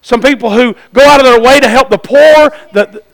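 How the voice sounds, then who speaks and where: A male preacher with a Southern US accent and an extremely high-pitched voice. His tone is bright and forceful. He delivers a church sermon in a folksy, urging style, leaning on repeated phrases and direct questions. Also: brisk, poor audio.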